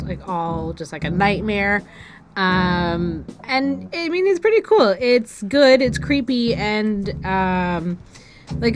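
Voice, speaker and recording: A woman co-hosting a music podcast, chatting casually in loose, hesitant phrases peppered with filler words. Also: medium pitch. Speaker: podcast co-host reviewing music. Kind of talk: casual chat giving opinions. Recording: music playing behind the voice.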